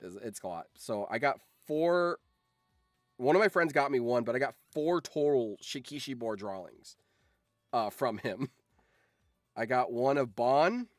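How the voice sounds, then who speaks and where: A man's voice: medium pitch, dry recording, studio quality. A man chatting casually on a livestream, telling anecdotes in an informal, sweary, enthusiastic fan's way. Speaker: streamer and voice actor.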